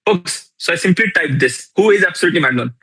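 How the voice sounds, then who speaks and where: A young man with an Indian accent teaches a live workshop with a lot of energy. He repeats his key lines and keeps asking the audience questions. His voice sits slightly high and has a husky edge. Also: lively intonation, quick pace, pushed and bright, poor audio. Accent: Indian English. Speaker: workshop instructor. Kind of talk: live online tool demonstration.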